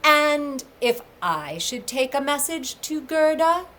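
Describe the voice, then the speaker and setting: A woman's voice: high voice, sing-song, slow, a bit bright, a little hiss or hum, pitched above the performer's own voice, heavily acted. Woman putting on a brash, mocking young trickster. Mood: bargaining. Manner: teasing, brazen, theatrical. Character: venturesome mocking male servant of gods, brash.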